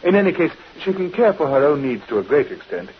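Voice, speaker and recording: A man playing a genial, smooth-talking and canny cousin in a vintage radio drama, affable, teasing and ready with advice. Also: fairly high pitch, big pitch swings, fast. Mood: explaining. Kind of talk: 1950s radio drama acting. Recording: muffled old radio recording, faint hiss.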